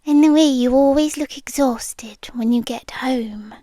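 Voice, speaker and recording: British woman, high soft half-whisper, unhurried, nervously chatty ASMR roleplay, dry close mic. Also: no room sound. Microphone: close ASMR microphone.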